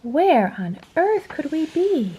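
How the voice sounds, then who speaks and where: A woman's voice: high-pitched, sing-song, slow, dark tone, muffled recording, a little hiss or hum, voice pushed up, full character voice. A woman voicing a bossy, indignant old male grasshopper in a barking, accusatory manner for a storybook.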